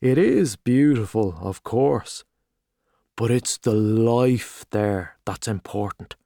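A man voicing a boastful, hearty Irish journalist, talking loudly and expansively over drinks. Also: fairly low pitch, expressive, takes it slowly, no room sound, studio quality. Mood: boisterous. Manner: loud, expansive, back-slapping.

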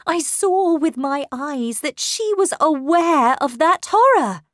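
An anxious young governess in a storybook tale, as a woman narrator plays her, pitched very high and swooping with emphasis. She is insistent and impatient, easily horrified, and urgent in her delivery. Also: animated, slow, no room sound, clean studio sound. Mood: fearful, urgent.

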